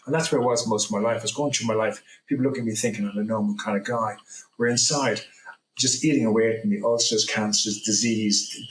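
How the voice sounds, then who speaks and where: A man's voice: fairly low pitch, fairly bright tone, a little hiss or hum. Rambling middle-aged man, echoey room. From Ireland. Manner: rambling, self-correcting, earnest. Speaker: guest. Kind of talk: personal testimony in an interview.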